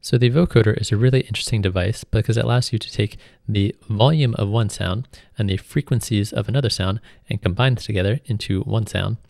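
Low, creaky-voiced male software instructor. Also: fast, few pauses, no echo, studio quality. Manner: informal, step-by-step explaining. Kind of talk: tutorial narration, explanatory.

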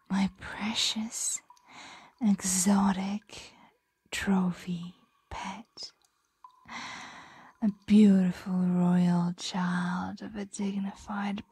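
A woman voicing a possessive, condescending ancient dragon in a breathy, coaxing ASMR roleplay whisper. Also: medium pitch, dark tone, no echo, exaggerated voice. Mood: amused, condescending.

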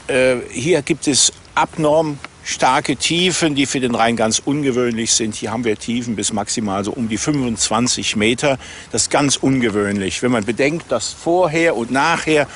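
A man's voice: mid-range voice, fairly bright tone. Older man explaining outdoors, faint background noise. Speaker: treasure hunter and architect, interviewee. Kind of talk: explanatory interview talk. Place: outdoors in a meadow by trees.

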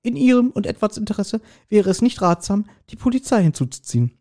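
A man voicing a polite, formal woman reading a letter aloud in a measured way. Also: sits high, very animated, dark tone, voice pushed up. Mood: formal, composed.

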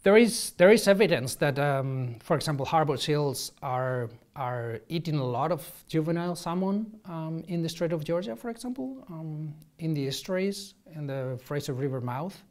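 A man, answering interview questions as a marine ecologist, halting and restarting often. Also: a little high, animated, darkish tone. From Spain. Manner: halting, many restarts and ums, gestures while explaining. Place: office with shelves, indoors. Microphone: lapel.

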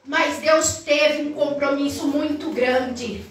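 A woman's voice: sits high, steady tone, takes it slowly, recorded from a distance. Fervent woman preacher in echoey hall. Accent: Brazilian Portuguese. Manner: fervent, sing-song cadence rising to shouts. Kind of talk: Pentecostal sermon. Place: large tiled church hall, indoors. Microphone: far camera across the hall.

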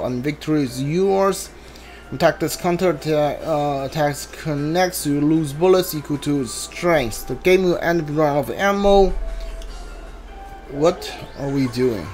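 A man with a fairly high voice streams a video game playthrough. He talks casually and haltingly, thinking aloud and reading screen text as he goes. Game music plays behind him. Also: darkish tone.

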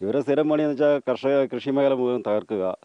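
A man's voice: medium pitch, a little fast, clean tone, no echo. A middle-aged man, giving spontaneous answers to a reporter. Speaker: local ration shop keeper. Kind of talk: spontaneous news interview answers. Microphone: handheld reporter microphone. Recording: dull, dry lo-fi field recording.

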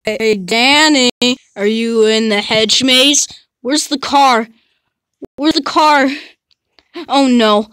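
A deranged man stalks his family through a haunted hotel, as a woman puts him on. He drawls slow, taunting lines in a lowered voice that stays bright. The sound is close and dry. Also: dry recording, exaggerated voice. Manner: menacing, taunting, deep put-on voice. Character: crazed murderous man hunting his family.

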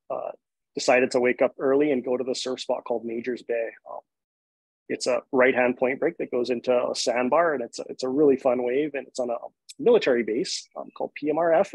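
A man in his forties from Hawaii tells a personal story in a calm, steady way, with the odd "um". His voice is a bit husky. The video call sound is dry and close. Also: mid-range voice, no room sound, poor audio. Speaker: guest, shark activist.

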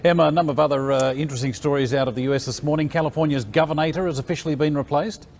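A man's voice: a little high, steady tone, very fast, a little mellow. A middle-aged Australian man presenting television news as a studio anchor.

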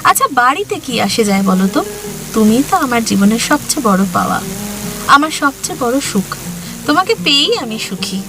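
A woman's voice: fairly high pitch, very animated, normal speed, music in the background, poor audio. An actress playing a young newlywed wife in an audio drama, affectionate with her husband and stammering when frightened. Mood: tender. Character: young newlywed wife, tender then terrified.

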